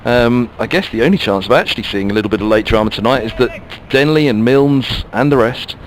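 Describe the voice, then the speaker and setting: A man's voice: medium pitch, fairly quick, poor audio. A man calling cricket ball by ball for radio, his voice in a middle register. He muses and chats between deliveries and picks up the pace when the bowler runs in. Faint outdoor noise sits behind him. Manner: chatty, musing between deliveries. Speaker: cricket commentator.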